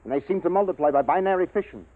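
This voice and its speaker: A man's voice: high-pitched, racing, no echo, lightly acted. An actor playing an analytical male science officer in a 1950s radio drama, explaining things matter-of-factly. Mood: grave, explanatory. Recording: dry, tinny vintage radio recording.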